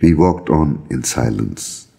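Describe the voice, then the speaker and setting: A man narrates his own story in a very low voice with a soft, dark tone. His delivery is measured and solemn, and there is a husky grain to it as he reads. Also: lively intonation. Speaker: storyteller reading own account. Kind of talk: scripted narration of a visionary journey.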